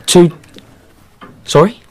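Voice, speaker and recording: An actor playing an obsequious young swindler posing as a gentleman in a radio drama, flustered and stammering as he tries to please. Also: drawn-out words. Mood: fawning, flustered.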